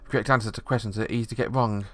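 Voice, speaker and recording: A man's voice: on the low side, fairly even intonation, rapid-fire, fairly bright tone, soft background music. A man reading the narration of a visual novel aloud, steadily, with small slips.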